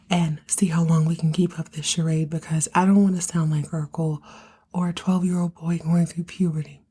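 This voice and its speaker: A woman in her thirties from the United States hosting a soap opera recap podcast, speaking in a hushed, half-whispered way because she has partly lost her voice. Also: on the low side, slightly rough. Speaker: podcast host.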